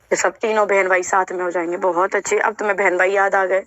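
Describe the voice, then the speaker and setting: A woman's voice: mid-range voice, level tone, fast talker, fairly bright tone, dry recording. A woman speaking emotionally and insistently in a heated personal phone conversation, sounding aggrieved. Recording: dull, lo-fi recorded phone call.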